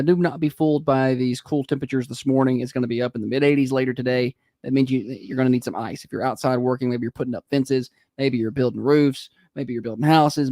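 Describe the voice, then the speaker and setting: A man's voice: mid-range voice, darkish tone, dry recording, clean studio sound. A man in his thirties co-hosting a morning radio show, joking in casual banter. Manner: quick, joking, slightly self-deprecating. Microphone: studio microphone.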